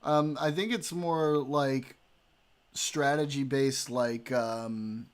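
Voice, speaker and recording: A man's voice: mid-range voice, fairly even intonation, takes it slowly, clean tone. A young adult man streaming, talking in a loose, joking, profane way while reacting to a debate. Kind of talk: casual livestream commentary.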